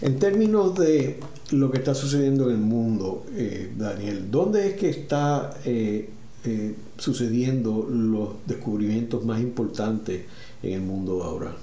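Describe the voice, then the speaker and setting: A man puts questions to a guest in a very dark, mellow voice. He gropes for words and fills the gaps. His voice echoes in the room. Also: mid-range voice, expressive. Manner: halting questions with fillers. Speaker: interviewer. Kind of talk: interview questions.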